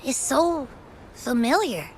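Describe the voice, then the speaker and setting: An actress voicing a small, cheeky creature companion in a video game, bossy, indignant and expressive. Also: female voice, high-pitched, takes it slowly, slight background noise, exaggerated voice, low-quality recording. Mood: alarmed, suspicious. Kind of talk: video game story dialogue.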